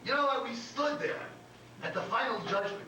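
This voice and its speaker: A man playing a grumbling, slightly dim old comedy partner, deadpan and complaining in a staged reading. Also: extremely high pitch, normal speed, full character voice. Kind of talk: staged reading before an audience. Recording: echoey, distant, noisy live recording.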